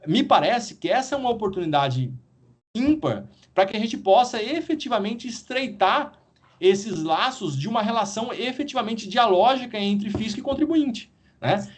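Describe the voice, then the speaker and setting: A man, a guest professor, explaining at a measured pace in a podcast discussion and often ending his points with a questioning tag. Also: high-pitched, expressive. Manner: measured, explanatory, frequent "né?" tags.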